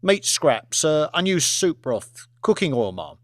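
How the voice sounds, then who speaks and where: A man voicing a nervous, deferential kitchen chef in a hesitant, halting manner for an audiobook. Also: on the high side, big pitch swings, no room sound, clean studio sound.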